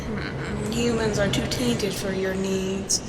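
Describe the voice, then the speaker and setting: A woman's voice: medium pitch, fairly even intonation, takes it slowly, lightly acted. Woman playing a sly schemer in long reverb. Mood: scheming. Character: sly, teasing sister of a schemer. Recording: long echo, very noisy ambience.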